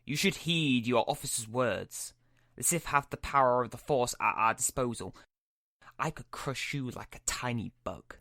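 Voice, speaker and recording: A man's voice: mid-range voice, moderate pace, vocal fry, sharp tone, no room sound, clean studio sound. A man acting as an arrogant, menacing Sith master in a sci-fi roleplay, with a threatening, imperious manner. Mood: angry, threatening. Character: arrogant, hot-tempered Sith lord master, menacing and domineering.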